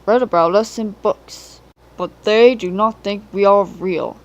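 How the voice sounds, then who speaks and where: Woman as a stern, pompous, loud railway boss. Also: medium pitch, unhurried, lightly acted, poor audio. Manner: commanding, emphatic. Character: stern, pompous, bossy male railway controller.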